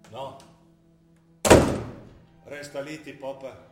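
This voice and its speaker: An actor playing an ageing, gentle, worried father from the provinces, halting and homely, with a northern Italian Trentino accent. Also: medium pitch. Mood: resigned, tender. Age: older. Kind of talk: stage drama acting in dialect. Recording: roomy stage sound, faint music.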